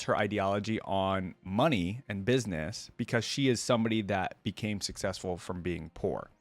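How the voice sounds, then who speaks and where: A man's voice: on the low side, no echo. A man hosting a reaction video, giving casual commentary to his viewers and rambling a little as he thinks aloud.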